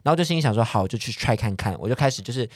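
A man's voice: mid-range voice, very fast, dry recording, clean studio sound. A man in his twenties with a Taiwanese Mandarin accent, telling stories casually and self-deprecatingly as a podcast host, with no filter. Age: 20s. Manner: animated, self-deprecating, unfiltered. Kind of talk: casual confessional storytelling chat.

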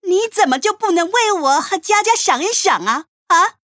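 Shrill, scolding wife. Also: female voice, lively intonation, normal speed, fairly bright tone, no room sound, clean studio sound. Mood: scolding, threatening. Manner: scolding, sarcastic, foul-mouthed. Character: furious, embittered wife and mother drowning in family debt. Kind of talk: radio drama acting.

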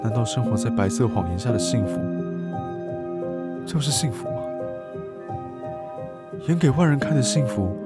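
A lonely young man played by an actor in a radio drama, confessing earnestly in a mid-pitched voice. He, with clear background music underneath. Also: lively intonation, normal speed, long pauses, lightly acted. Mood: reflective. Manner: introspective, earnest. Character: troubled, lonely young peeping son, confessional.

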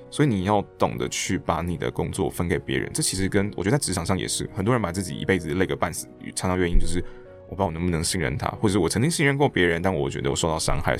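Male podcast guest, very low register with vocal fry, animated storyteller, conversational. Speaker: podcast guest, theatre director and actor. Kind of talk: casual interview chat with anecdotes.

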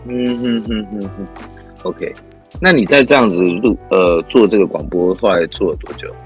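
Guy hosting, low voice, husky, music faintly behind. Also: normal speed. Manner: attentive, frequent short backchannels. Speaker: host. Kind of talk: interview hosting.

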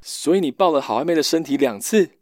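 A man playing a dry, unimpressed, calmly teasing friend in a radio drama, with a deadpan delivery. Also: a little high, quick pace, close to an everyday voice.

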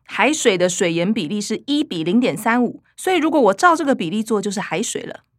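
A woman's voice: medium pitch, big pitch swings, brisk, no echo, clean studio sound. A woman playing an eager, earnest young granddaughter with a Taiwanese accent in a radio drama. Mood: excited, precise.

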